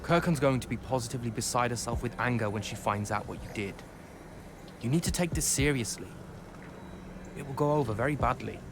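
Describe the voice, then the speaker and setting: An actor playing a dutiful, reproachful soldier in a game cutscene, with a dry, scolding manner. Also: male voice, medium pitch, brisk, background noise, natural voice. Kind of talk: dramatic video game cutscene acting.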